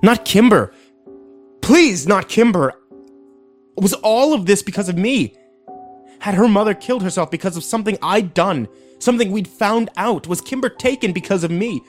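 A man's voice: medium pitch, darkish tone. A man playing a teenage sheriff's son in a dramatic story, bitter, anguished and intense. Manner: intense, accusing. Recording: music behind.

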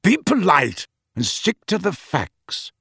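A man's voice: sits high, huge pitch swings, slow, gravelly, sharp tone, slightly rough, no echo, studio quality, voice pushed up, heavily acted. A man voicing a blunt, grim and protective man in an audiobook, with an abrupt, terse manner.

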